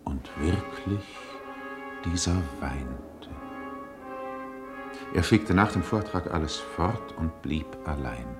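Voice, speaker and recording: An actor as the solemn narrator of a radio drama, telling the story in a measured, literary way. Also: male voice, very deep voice, expressive, music in the background, full character voice.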